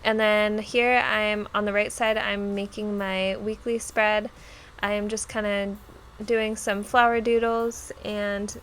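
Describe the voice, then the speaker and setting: A woman's voice: medium pitch, slight background noise. A young woman who sounds nasal as she gets over a cold, chatting casually with many ums and likes as she narrates her journal spread. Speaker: bullet journal vlogger.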